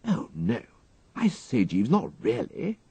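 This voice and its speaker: A man voicing an excitable, flustered young upper-class English gentleman with an exclamatory manner and dated slang, in an audiobook. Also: medium pitch, slow, gravelly, slightly rough, no echo, voice pushed up, heavily acted. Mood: bashful, uneasy.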